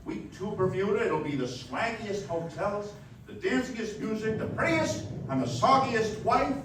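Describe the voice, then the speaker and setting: Guy doing excitable young small town husband, old radio drama, high voice, distant mic. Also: moderate pace, lightly acted. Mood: exuberant. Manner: stammering, emphatic. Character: earnest, excitable small-town young man, newly married. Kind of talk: live radio play acting, 1940s American. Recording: echoey dance hall from far off, faint noise.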